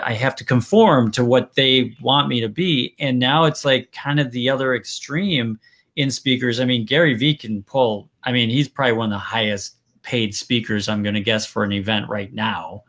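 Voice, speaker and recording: A man with a Wisconsin accent talks loosely as an interview host, often restarting his sentences. His voice sits at an ordinary middle pitch. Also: moderate pace, fairly bright tone. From Wisconsin, USA. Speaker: podcast host. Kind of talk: casual interview chat.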